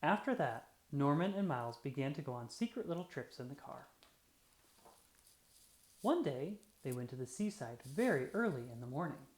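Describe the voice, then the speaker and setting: A storyteller reading a children's picture book aloud with deliberate, expressive phrasing for young listeners. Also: medium pitch. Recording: roomy, echoey sound.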